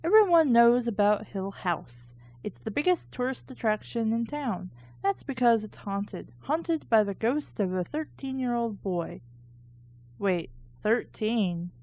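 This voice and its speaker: Slow-paced, mellow woman reading aloud. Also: fairly high pitch, animated, dry recording. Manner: sarcastic, critical commentary. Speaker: host of a book reading show. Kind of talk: casual read-aloud with snarky asides.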